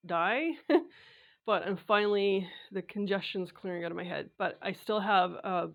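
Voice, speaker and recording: A woman vlogging about her sewing, her voice raspy and congested as she gets over a cold, chatting casually with lots of filler sounds and restarts. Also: mid-range voice, normal speed, narrow, tinny audio. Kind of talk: casual talk to camera.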